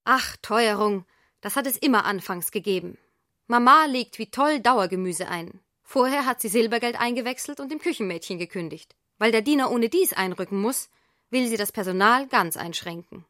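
An actress playing an idealistic, headstrong young daughter who wants to be a nurse, speaking earnestly and insistently in a radio drama. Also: female voice, fairly high pitch, expressive, moderate pace, sharp tone, dry recording, studio quality. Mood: dismissive. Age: young adult. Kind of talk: German radio drama acting.

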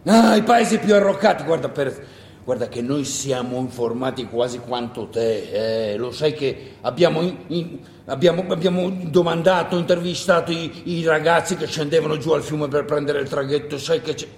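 A man's voice: fairly high pitch, normal speed, pitched below the performer's own voice, natural voice. An actor playing a worried village man who coaxes hesitantly, repeating himself, in a theatrical stage style. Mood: uneasy reasoning. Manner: hesitant, repetitive, coaxing. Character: worried, coaxing village man urging caution. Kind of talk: theatrical stage monologue acting. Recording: echoey hall with long reverb.

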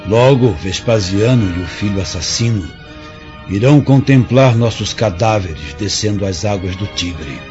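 Male actor as defiant doomed captive: lowish voice, Brazilian accent, indignant declamation, dull radio-play sound over music. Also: unhurried, a little mellow, lightly acted. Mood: bitter indignation. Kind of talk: radio drama acting in a historical serial.

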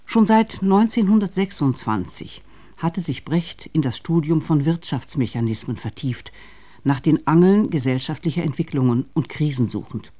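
Male radio feature narrator, slightly high but mellow, scripted read. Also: animated, normal speed.

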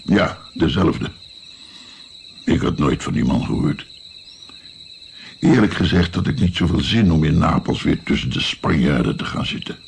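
A man's voice: extremely low pitch, animated, a little slow, gravelly, long pauses, slight background noise, full character voice. An actor playing an older musician and physician, recalling his naive youth in a reflective, hesitant, wry way in a radio play. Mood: wry, reminiscing.

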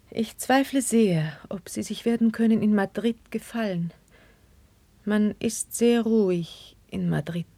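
A woman playing a gentle, dignified young queen in 1950s classical radio drama, with measured, formal stage diction. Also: medium pitch, gravelly, no echo. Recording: dry 1959 radio drama recording.

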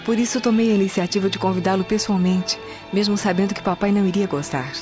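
A woman's voice: medium pitch, steady tone, fast, lightly acted. A woman with a Brazilian accent playing a gracious, loyal Roman noblewoman in a radio drama. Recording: dull lo-fi audio under loud music. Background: music.

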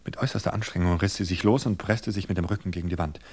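A man reading a suspense story aloud in a steady, measured narration. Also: deep voice. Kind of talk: scripted audiobook narration.

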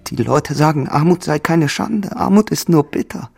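An actor playing a poor, jealous and possessive farmhand in a German radio drama, with a brooding, insistent and menacing manner and a gravelly, husky voice. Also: male voice, fairly high pitch, lively intonation, moderate pace, soft background music, exaggerated voice. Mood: bitter, pleading.

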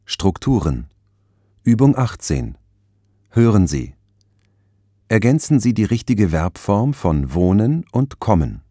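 A man narrating a language course, announcing scripted exercises in a measured, instructional manner. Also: fairly low pitch, big pitch swings, warm tone, dry recording, clean studio sound.

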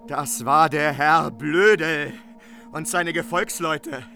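An actor playing an indignant, battle-weary knight in a radio play, declaiming with outrage. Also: male voice, fairly high pitch, takes it slowly, gravelly, sharp tone, faint music behind, full character voice. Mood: grim, vengeful.

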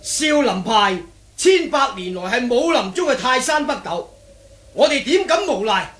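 A man's voice: extremely high pitch, sing-song, unhurried, fairly bright tone, exaggerated voice. A man with a Cantonese accent playing an aggrieved, accusing beggar clan elder, declamatory and indignant, in a martial arts radio drama.

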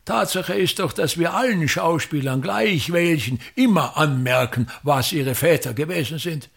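Pompous, gravelly older stage tyrant. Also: male voice, fairly high pitch, unhurried, fairly bright tone, heavily acted. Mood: indignant, grandiose. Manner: pompous, declamatory, emphatic repetitions. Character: pompous, tyrannical older actor-patriarch, grandiose and aggrieved. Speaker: actor. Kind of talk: theatrical radio drama monologue.

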